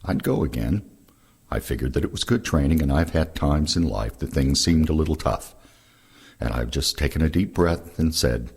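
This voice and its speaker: A man reads oral history recollections in a low, gravelly voice. It is extremely husky, with heavy creak throughout the scripted narration. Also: a little mellow. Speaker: reader of veterans' recollections.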